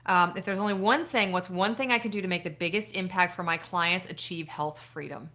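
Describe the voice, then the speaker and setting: Chatty, bright-voiced middle-aged woman doctor. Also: lowish voice, fairly even intonation, fairly quick. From Buffalo, New York. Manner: chatty and self-deprecating, laughs often, swears casually. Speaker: doctor hosting a live stream. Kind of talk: casual live-stream chat answering viewer questions.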